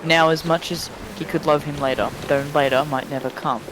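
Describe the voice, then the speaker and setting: Higher-voiced man reading aloud over noisy chat. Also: fairly quick. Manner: sweary, breaks off mid-sentence to chat. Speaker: fan fiction reader on a live stream. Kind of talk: dramatic reading with casual asides to friends. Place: live-streamed group voice chat. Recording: noisy voice chat, steady background noise.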